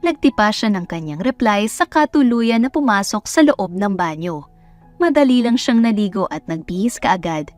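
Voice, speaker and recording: A woman's voice: mid-range voice, lively intonation, moderate pace, a little mellow, faint music behind. A woman storyteller reading scripted romance narration into a close microphone.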